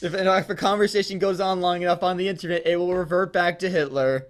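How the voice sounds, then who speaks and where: A man co-hosting a fan fiction reading show, chatting casually and jokingly in a rambling, halting way with some stammering. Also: high-pitched, steady tone.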